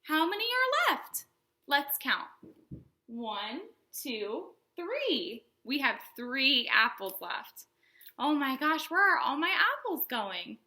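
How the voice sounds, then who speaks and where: A woman hosting a storytime for young children, speaking in simple explanatory phrases with an animated, sing-song delivery. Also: high-pitched, slow. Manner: animated, exaggerated questions and exclamations for young children. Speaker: children's librarian and storytime host.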